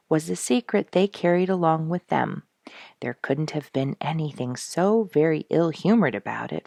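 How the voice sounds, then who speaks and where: Low-voiced woman reading a children's story. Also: vocal fry, darkish tone, dry recording, studio quality. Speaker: storyteller reading a book chapter aloud. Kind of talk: expressive children's audiobook reading.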